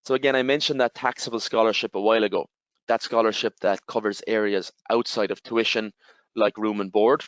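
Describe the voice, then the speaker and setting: A man with an Irish accent, giving a webinar presentation and explaining step by step, with steady fillers and repeated "again". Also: on the low side, a little fast, clear, resonant tone, no room sound. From Ireland. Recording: slightly dull, dry webinar audio.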